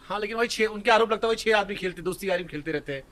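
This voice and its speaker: A man's voice: sits high, racing, fairly bright tone. A man interviewing in a casual, chatty style, agreeing and teasing as he goes.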